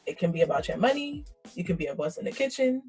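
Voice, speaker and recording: An upbeat, playful woman in her twenties from Queens hosts a casual chat among friends. Her voice sits a little low for a woman. A faint beat plays softly behind her. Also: sing-song, fast talker, soft background music. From Queens, New York. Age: 20s. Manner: upbeat, playful, leads the talk. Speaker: podcast host. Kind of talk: casual group podcast chat.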